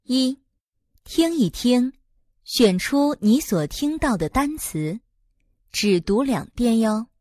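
Lively woman reading test instructions. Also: on the high side, slow pace, no room sound, studio quality. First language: Chinese. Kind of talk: scripted instructions for a listening test.

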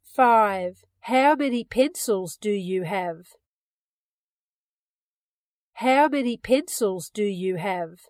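A woman reading English listening-test items with careful, deliberate enunciation, recorded dry and close. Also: medium pitch, lively intonation, a little mellow, no room sound. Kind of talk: scripted slow test reading.

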